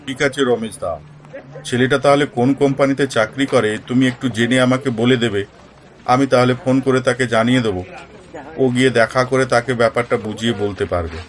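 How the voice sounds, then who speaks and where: A man's voice: mid-range voice, steady tone, brisk, lightly acted. A man voicing a troubled, helpful village family man in a cartoon, earnest, with noisy outdoor ambience behind him. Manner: earnest, concerned. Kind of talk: Bengali cartoon dialogue.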